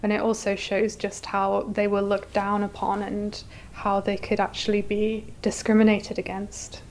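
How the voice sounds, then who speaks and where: A woman's voice: mid-range voice, completely flat delivery, moderate pace, darkish tone, a little hiss or hum. A woman giving articulate, explanatory answers as a curator being interviewed. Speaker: exhibition curator, interview guest.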